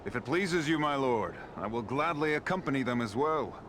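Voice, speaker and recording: An actor playing an eager, dutiful giant eagle in a fantasy game, speaking formally and deferentially. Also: male voice, medium pitch, animated, normal speed, background noise, lightly acted. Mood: willing, wry. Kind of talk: fantasy game cutscene acting.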